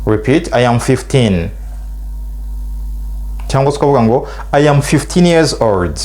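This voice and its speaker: A middle-aged man with a Rwandan accent, a native Kinyarwanda speaker, teaching spoken English and stretching out model phrases slowly for learners to repeat. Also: medium pitch, lively intonation, darkish tone.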